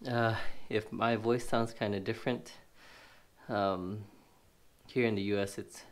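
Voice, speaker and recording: A man's voice: fairly low pitch, fairly even intonation, measured pace. A man with a stuffy, sniffly voice casually narrating a gadget unboxing at a table, rambling with many fillers. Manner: rambling, many fillers, thinking aloud while handling the box. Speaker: tech reviewer. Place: indoors at a table.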